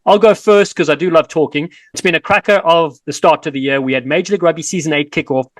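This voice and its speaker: A South African man co-hosting a rugby podcast, chatty and energetic with playful teasing. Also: fairly high pitch, fast, no room sound. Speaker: podcast co-host and rugby commentator.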